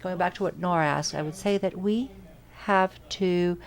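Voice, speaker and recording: A woman with a fairly low voice renders speakers live for an academic audience. She delivers their words in short bursts with pauses and quick self-corrections, keeping close behind each speaker. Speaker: simultaneous interpreter. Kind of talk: live interpretation of audience questions at an academic symposium.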